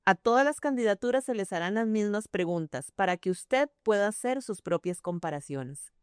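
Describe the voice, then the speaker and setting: A woman hosting a formal broadcast interview, speaking in a measured way. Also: medium pitch, expressive, clean tone, no echo, studio quality. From Costa Rica.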